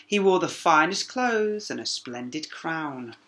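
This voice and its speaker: A man's voice: on the high side, expressive, a little slow, bright, forceful tone, a little hiss or hum. A man narrating a children's story expressively as a teacher, with small asides to young listeners.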